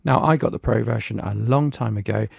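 Gravelly British man explaining software. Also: fairly low pitch, fairly quick, dry recording, studio quality. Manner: plain, explanatory, lightly chatty. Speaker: software reviewer. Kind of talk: screen-recorded software tutorial.

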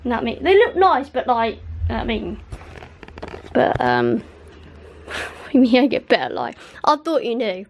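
Young woman vlogging from bed, giggly and rambling, on a handheld camera, slightly high voice, faint hiss behind. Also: very animated, moderate pace, long pauses. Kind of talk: casual chat. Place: bedroom at night.

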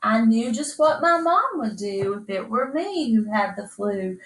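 A woman's voice: on the high side, expressive, unhurried, a bit bright, a little hiss or hum. A middle-aged woman reading a rhyming children's picture book aloud in a rhythmic, dramatized way. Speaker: storybook reader. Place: small indoor room. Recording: echoey room, distant microphone.

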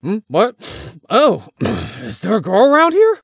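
A man's voice: high-pitched, wildly swooping pitch, takes it slowly, creaky, fairly bright tone, husky, no echo, studio quality, exaggerated voice. A man playing a hardworking, teasing young best friend in a visual novel. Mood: casual, wry. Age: young adult.

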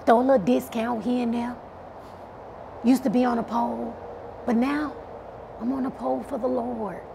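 A woman's voice: fairly high pitch, steady tone, normal speed, vocal fry, background noise. A woman playing an unashamed reformed streetwalker turned church musician, earnest and matter-of-fact in a comedy sketch. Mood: earnest, defensive. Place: dark indoor parking garage.

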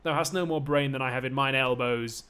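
A man's voice: mid-range voice, brisk, lightly acted. A man playing a bitter, railing male slave in a stage play, sneering and ranting out insults. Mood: contemptuous.

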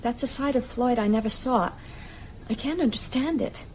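A woman's voice: a little high, normal speed, a little mellow, a little hiss or hum, close to an everyday voice, low-quality recording. An actress in a radio drama playing a sceptical, shaken young woman who speaks hesitantly to the man she loves. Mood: shaken, disbelieving. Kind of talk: naturalistic radio drama dialogue.

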